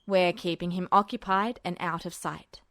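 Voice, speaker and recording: A woman voicing a guarded male officer who speaks low, clipped and commanding, in a fan audiobook reading. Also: fairly even intonation, normal speed, a bit bright, dry recording, clean studio sound. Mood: low, urgent.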